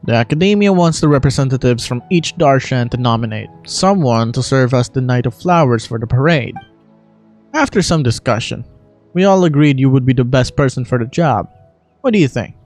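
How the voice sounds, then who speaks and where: A performer voicing a composed, courteous adult character from a fantasy game in a measured, expository manner. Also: medium pitch, animated, a little mellow, faint music behind, natural voice.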